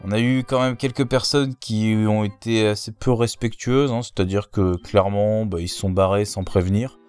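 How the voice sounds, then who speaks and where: A man's voice: lowish voice, fairly even intonation, normal speed, darkish tone, smooth, faint music behind. A man giving blunt, ranting answers in a casual way.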